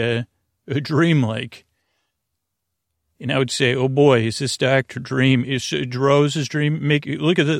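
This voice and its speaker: A man hosting a sleep podcast in a meandering, halting bedtime monologue, full of restarts and whimsical, punning tangents, with a gravelly voice. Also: medium pitch, no echo, clean studio sound. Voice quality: creaky, gravelly. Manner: whimsical, punning, silly asides.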